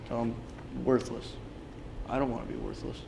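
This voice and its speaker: A man acting in a play reading as a frightened, confused man losing his memory, subdued and halting, in a reverberant, noisy hall. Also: medium pitch, flat delivery, vocal fry, natural voice. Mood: despairing. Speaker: drama student actor. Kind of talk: dramatic reading of a stage play. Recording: reverberant hall with background noise.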